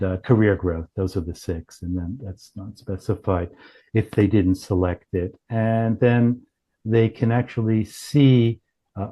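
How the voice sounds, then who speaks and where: A man explaining a product demo as a company founder, in a halting, self-correcting way with many broken starts. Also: fairly low pitch, warm tone. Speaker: company founder and chief executive.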